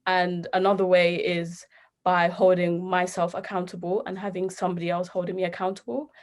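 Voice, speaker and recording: A woman's voice: lowish voice, flat delivery, a little fast. A young British woman giving earnest advice as a youth panelist. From United Kingdom. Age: young adult.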